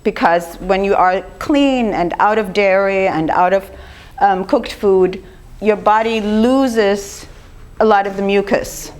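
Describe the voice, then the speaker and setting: An older woman with a slightly rough voice gives an informal lecture, talking conversationally and putting questions to her audience. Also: mid-range voice, slow, darkish tone. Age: 50s or older. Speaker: speaker giving a lecture on raw food.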